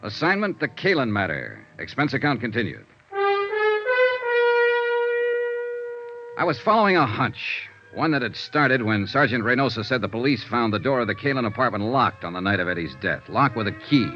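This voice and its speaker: A man's voice: medium pitch, big pitch swings, fairly quick, hardly any pauses, sharp tone. An American actor playing a tough, shrewd male investigator in a 1950s radio noir serial, with clipped first-person delivery. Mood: brisk, confident. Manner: clipped, hard-boiled delivery. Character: tough, shrewd male freelance insurance investigator, hard-boiled. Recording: muffled vintage radio recording, orchestral music.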